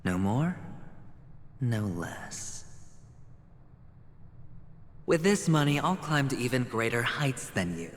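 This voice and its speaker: An actor playing a self-assured young male gambler in a game cutscene, speaking in a wry, measured way. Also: medium pitch, very animated, long reverb tail. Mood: defiant.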